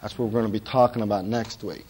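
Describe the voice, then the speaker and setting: A middle-aged man preaching a sermon as pastor, hoarse from a cold, folksy and joking, with many "uh" fillers. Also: lowish voice, steady tone, quick pace, darkish tone, slight background noise, rough recording.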